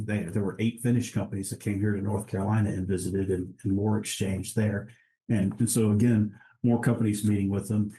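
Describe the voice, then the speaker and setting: A man, a guest on an interview podcast, talking in a relaxed way with frequent restarts and easy laughs. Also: on the low side, low-quality recording. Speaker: guest, international trade director. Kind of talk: casual interview conversation.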